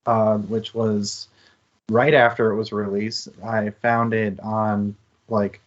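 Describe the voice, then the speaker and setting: A man with a lowish voice co-hosts a casual book talk. He phrases things earnestly and thoughtfully, in a reflective style. The sound is slightly dull and lo-fi. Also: level tone, slow pace. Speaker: co-host of a book discussion show.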